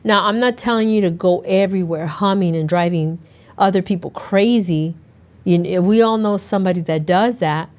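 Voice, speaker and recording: A middle-aged woman hosts a solo podcast in a slightly low, raspy voice that is still hoarse from being sick. She talks in an earnest, conversational way and often circles back to restart a thought. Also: moderate pace, a little mellow. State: hoarse after a recent illness. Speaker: podcast host and naturopathic doctor. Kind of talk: casual solo podcast talk.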